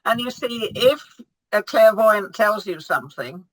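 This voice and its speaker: A woman's voice: mid-range voice, lively intonation, a little slow, no echo, low-quality recording. A woman astrologer answering audience questions over a video call, hesitant, with many ums and restarts. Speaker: guest astrologer.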